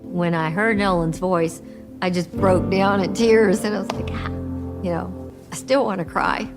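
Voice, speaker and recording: A woman's voice: fairly low pitch, fairly even intonation, normal speed, music in the background. A woman telling a personal, emotional story as a mother in a documentary-style interview.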